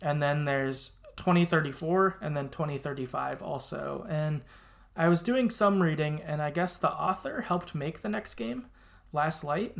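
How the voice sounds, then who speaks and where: A man's voice: a little high, measured pace, creaky. A man hosting a podcast in a casual, rambling and agreeable chat style with some hesitation. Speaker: podcast host.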